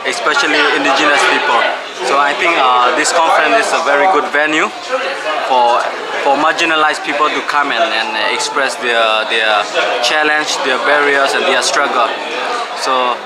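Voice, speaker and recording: A man's voice: medium pitch, moderate pace. A man from Myanmar speaking earnestly as a conference delegate in an interview, often repeating words as he searches for his phrasing. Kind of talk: interview answer. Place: busy conference venue. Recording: loud crowd and noise behind.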